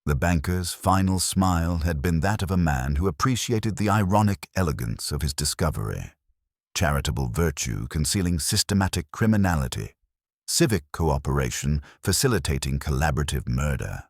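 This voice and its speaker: Deep-voiced male mystery narrator, dry sound. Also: lively intonation, moderate pace, no room sound. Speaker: story narrator. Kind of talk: scripted mystery audiobook narration.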